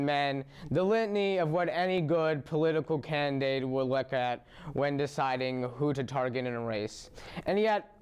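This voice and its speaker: A young man with a smooth, clean voice reads a prepared opinion piece in a close voice-over. His voice sits a little high for a man. He paces each sentence with earnest, deliberate care, like a student commentator making a case. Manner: earnest, measured, deliberate delivery. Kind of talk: reading an opinion essay.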